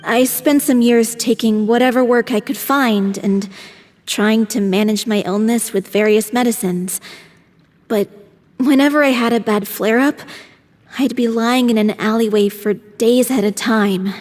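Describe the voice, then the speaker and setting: A woman's voice: on the high side, measured pace, darkish tone, natural voice. An actress playing an earnest young female troupe singer who lives with a chronic illness, in a game cutscene. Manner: earnest, scolding when worried. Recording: reverberant game mix with faint music.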